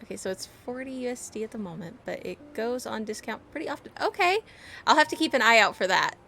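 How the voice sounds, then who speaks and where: A woman's voice: fairly high pitch, animated, quick pace, creaky, slight background noise. A woman giving casual, playful, reactive commentary as she streams a game. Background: soft game music.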